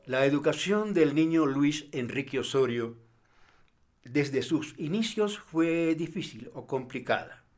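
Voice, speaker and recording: A man giving measured explanatory commentary in formal, deliberate phrases. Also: on the high side, expressive, faint music behind. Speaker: commentator on a playwright's work.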